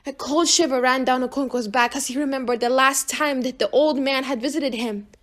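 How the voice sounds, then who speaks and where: Female narrator, high-pitched voice, expressive and emphatic amateur reading of literary fiction. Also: fairly quick. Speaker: audiobook narrator.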